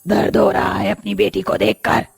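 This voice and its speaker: Creepy taunting witch for a cartoon, super raspy, lively swings in pitch, dry sound with faint music. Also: dry recording, exaggerated voice. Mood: threatening. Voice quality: raspy, creaky. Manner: slow, taunting delivery. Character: menacing female witch of a haunted mansion. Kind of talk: cartoon horror story acting.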